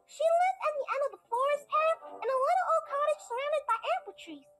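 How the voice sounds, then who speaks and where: A woman's voice: very high voice, fairly quick, loud music behind the voice. A woman voicing a naive, cheerful little cartoon pony, bubbly, innocent and full of questions. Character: cheerful naive little filly, chatty and easily distracted. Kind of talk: animated fairy-tale parody acting.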